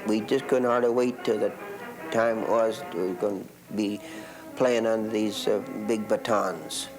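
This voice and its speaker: An older man, a former band member, reminiscing in a rambling, halting way in casual talk. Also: fairly low pitch, steady tone, music in the background, low-quality recording.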